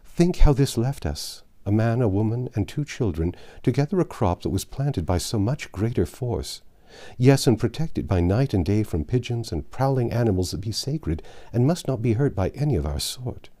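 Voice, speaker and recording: Man voicing a weary, grieving medieval peasant mother, animated. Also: mid-range voice, normal speed. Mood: indignant. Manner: impassioned archaic storytelling. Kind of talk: dramatic audiobook character reading.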